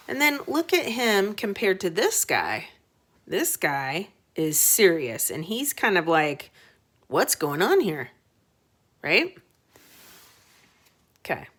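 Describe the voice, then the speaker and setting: A woman's voice: mid-range voice, a bit bright. A woman elementary teacher explaining a story to young children in simple, conversational words, stopping for short check-in questions. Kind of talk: read-aloud reading lesson for young children.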